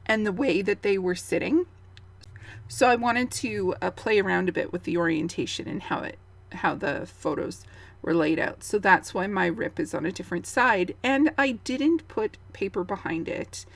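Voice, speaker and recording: Woman with a gravelly, fading voice. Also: medium pitch. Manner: chatty, rambling, with fillers and soft laughs. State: gravelly, losing her voice. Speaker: scrapbooking channel host. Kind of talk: casual voice-over of a craft process.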